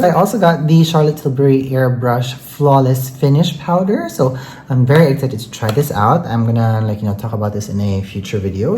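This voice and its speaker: Mellow, echoey beauty vlogger of ambiguous-sounding voice. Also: medium pitch. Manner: enthusiastic, chatty, frequent "like, you know". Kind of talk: casual chatty makeup product review. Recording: roomy echo, faint background noise.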